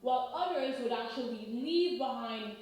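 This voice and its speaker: A woman in her thirties from Haiti, explaining in a measured, lecturing way and often checking in with "right?". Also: fairly high pitch, animated, a little mellow. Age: 30s. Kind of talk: explanatory talk. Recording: very echoey room.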